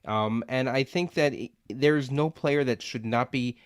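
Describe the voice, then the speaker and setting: A man's voice: mid-range voice, a little slow, no room sound, clean studio sound. Easygoing man co-hosting a podcast. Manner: loose, hedging, repeats words. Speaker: podcast co-host. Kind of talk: casual podcast talk.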